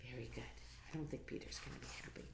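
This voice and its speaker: A woman's voice: very deep voice, fairly even intonation, vocal fry, echoey room. A woman reading a picture book aloud to children in a sing-song, encouraging way, with asides to her young listeners. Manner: encouraging, interactive, drawn-out sing-song phrasing.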